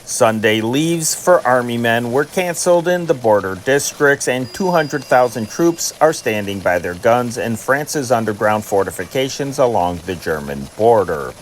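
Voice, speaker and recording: A man reading scripted news dispatches in a formal, measured newsreader manner. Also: medium pitch, expressive, slight background noise. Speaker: narrator and channel host reading historic news dispatches.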